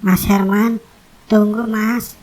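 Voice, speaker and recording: A woman playing a devoted, soft-hearted young woman in love in a cartoon drama, speaking in an earnest, pleading way. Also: medium pitch, little pitch movement, slow pace, lightly acted, poor audio. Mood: tender, pleading.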